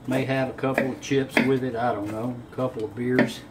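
A man, a home cook casually talking through a recipe in a folksy, unhurried way. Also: medium pitch, fairly even intonation. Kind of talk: casual cooking demonstration. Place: home kitchen, indoors. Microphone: camera on counter a short way off. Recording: clear room noise in a kitchen.

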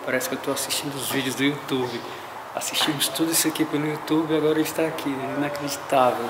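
A man in his fifties with a Brazilian Portuguese accent, narrating his trip in an excited, amazed way to a handheld camera. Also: fairly high pitch, fast talker, reverberant, very noisy. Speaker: travel vlogger. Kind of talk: casual travel vlog. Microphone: handheld action camera. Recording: music mixed under voice.

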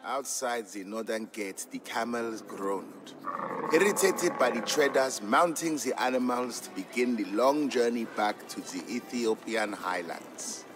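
A man giving a scripted, measured and expressive story narration for a historical game. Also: medium pitch, over music, poor audio.

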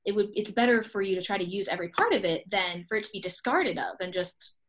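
A woman's voice: medium pitch, animated, quick pace, a bit bright. A young woman hosting a casual video call interview, upbeat and chatty, often laughing between thoughts. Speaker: host, expo co-owner.

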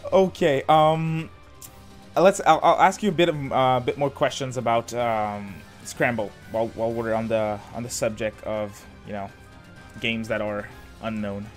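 A man hosting a gaming podcast, soft-spoken and hesitant, with many fillers. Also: medium pitch, steady tone, measured pace, faint music behind. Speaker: podcast host. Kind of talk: casual gaming chat.

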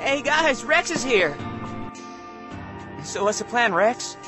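A man playing a cheeky young squad member with a casual, informal manner in an animated series. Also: very high-pitched, slow, over music, exaggerated voice. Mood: casual, questioning.

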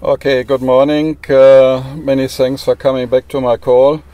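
A man with a German accent making an amateur radio contact, speaking courteously and haltingly. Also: mid-range voice, level tone, mellow, no echo, highs cut off. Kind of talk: amateur radio contact, spelling in phonetic alphabet. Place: inside a parked car.

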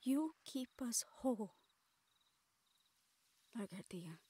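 Higher-voiced, tender woman playing a supernatural mentor. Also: expressive, takes it slowly, dark tone, slightly rough, no room sound, heavily acted. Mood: tender, reassuring. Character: caring, encouraging supernatural woman, a siren-banshee mentor. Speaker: audio roleplay performer. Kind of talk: intimate audio roleplay acting.

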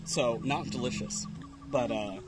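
A man's voice: mid-range voice, a little slow, over loud music. A man in his thirties chatting casually at an outdoor campsite table, teasing and joking with his guest. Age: 30s. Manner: teasing, animated, talks with his hands. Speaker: vlogger. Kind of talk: casual chat. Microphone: camera on a tripod at the table.

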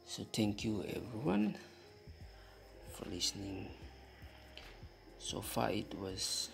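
A young man with a breathy voice talking casually to vlog viewers, haltingly and searching for words. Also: lowish voice, big pitch swings, faint music behind. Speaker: vlogger.

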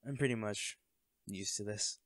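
A man's voice: on the low side, normal speed, a bit bright, no room sound, studio quality, close to an everyday voice. A man playing a cocky college volleyball captain. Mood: teasing. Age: young adult. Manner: laid-back, laughs often, swears casually. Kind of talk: audio roleplay to a listener.

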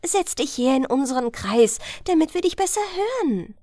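A woman voices an eager village youngster in a fairy tale. The voice is raised high and lively, calling out insistently. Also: moderate pace, no echo, clean studio sound. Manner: calling out, urging.

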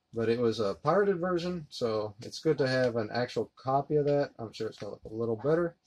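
A man in his thirties giving a relaxed, rambling unboxing commentary while handling discs at his desk. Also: mid-range voice. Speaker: collector unboxing a disc set. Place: collectibles room indoors. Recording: noisy home recording.

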